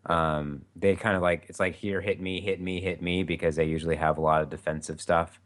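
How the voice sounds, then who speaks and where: A man running a tabletop role-play podcast, explaining slowly with many fillers and restarts. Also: deep voice, level tone, a bit bright. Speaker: game master. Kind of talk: casual podcast chat.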